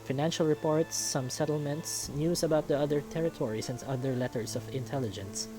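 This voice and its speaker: A man's voice: fairly high pitch, a little fast, music in the background, pitched above the performer's own voice, lightly acted. A man voicing a visiting envoy who is polite and deferential, speaking with formal courtesy. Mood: businesslike.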